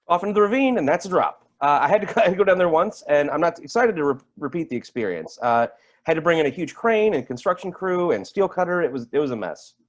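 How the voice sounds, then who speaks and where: Fast-talking, edgy highway patrolman played by a man. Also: animated, natural voice. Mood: agitated storytelling. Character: edgy, foul-mouthed highway patrolman at a dinner party. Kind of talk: staged play reading.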